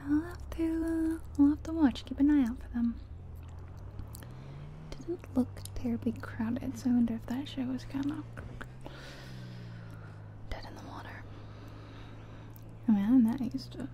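A soft-spoken woman doing an ASMR roleplay as a friendly, chatty taxi driver, in a gentle, whispery delivery. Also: high voice, moderate pace, long pauses. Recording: faint background noise of game car sounds. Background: car sounds from a driving game.

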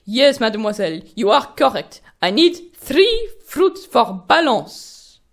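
High, swooping monkey painter. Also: female voice, big pitch swings, a little slow. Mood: musing, courteous. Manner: exaggerated, theatrical, talking fondly to a pet. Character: fussy, theatrical French monkey painter puppet, fond of cat. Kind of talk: children's puppet show acting.

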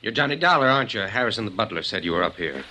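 A man's voice: lowish voice, expressive, rapid-fire, music in the background, close to an everyday voice. An actor playing a nervous, evasive male secretary in a 1950s radio drama, hesitant and stammering. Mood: wary. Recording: noisy, clicking 1950s radio recording.

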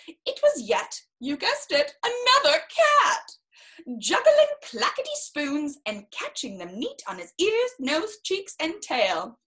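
A woman in her thirties reads a picture book for children in a high voice. Her pitch swings dramatically from line to line. She stretches words for effect and drops in chatty little exclamations as she goes. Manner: theatrical, expressive, drawn-out emphasis with exclamations. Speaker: storyteller reading a picture book. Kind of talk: children's story time read-aloud with chatty asides. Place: small room indoors, seated in an armchair.

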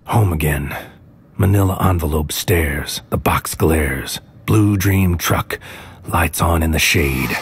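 Low-voiced brooding, paranoid hitman. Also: slight background noise, full character voice. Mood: brooding, haunted. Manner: deliberate, poetic, often dropping into whispers. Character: brooding, paranoid male hitman with a surreal inner monologue. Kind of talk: scripted noir-like audio drama narration. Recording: music under the voice. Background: music.

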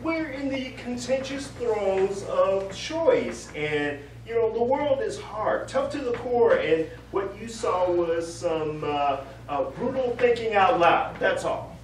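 A man's voice: high voice, sing-song, slow pace, few pauses, exaggerated voice. Sarcastic, exasperated husband played by a man. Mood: defensive. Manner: dry, exasperated. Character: sarcastic, grumbling, impatient husband. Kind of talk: live stage play acting. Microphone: far camera. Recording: echoey stage, distant mic, noisy.

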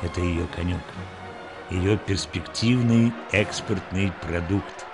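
A man reading scripted documentary narration in a measured, formal way. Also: low voice. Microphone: studio voice-over. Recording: voice-over with music underneath.

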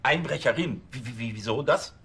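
An actor playing an irritable, flustered police chief who scolds and stammers in a TV crime comedy. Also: male voice, medium pitch, brisk, vocal fry, a bit bright. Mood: indignant, bossy. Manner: indignant, stammering. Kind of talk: German TV crime comedy acting.

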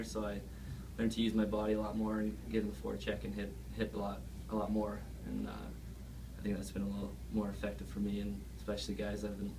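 A man in his early twenties, a college athlete casually answering post-game questions and repeating words as he goes. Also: on the low side. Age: early 20s. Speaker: college hockey player. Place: small press room, at a table. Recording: slightly noisy, distant microphone.

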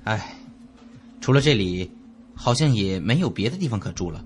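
A man's voice: fairly low pitch, lively intonation, moderate pace, very noisy, lightly acted. A man playing a worried young traveller in a radio drama.

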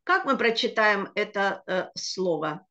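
A woman's voice: mid-range voice, animated, measured pace, pushed and bright. A middle-aged woman teaching a children's reading lesson over a video call, explaining word by word with patience. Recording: dull lo-fi video call audio.

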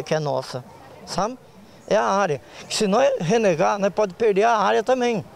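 A man's voice: high-pitched, gravelly, darkish tone, slight background noise. An older man with a Brazilian Portuguese accent, a market association president speaking plainly in a street interview into a handheld mic outdoors. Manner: plain-spoken, rural speech, repeats words. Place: open-air market lot outdoors. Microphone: handheld reporter mic.

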